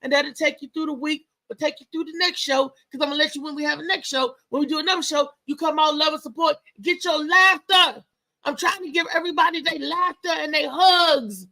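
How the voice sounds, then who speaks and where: A woman hosting a casual live show as a comedian, talking in a loud, lively, chatty way with lots of exclamations. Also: sits high, brisk, gravelly. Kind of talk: casual live-stream chat and promotion.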